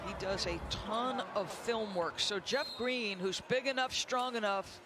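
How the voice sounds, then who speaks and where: A man's voice: high voice, unhurried, background noise. A man giving conversational, analytical sports commentary, explaining plays emphatically. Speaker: broadcast color analyst.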